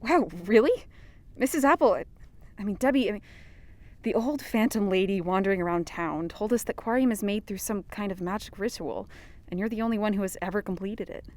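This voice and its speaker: A woman's voice: mid-range voice, brisk, a little mellow, lightly acted. An actress playing an eager, earnest young female reporter in an audio drama.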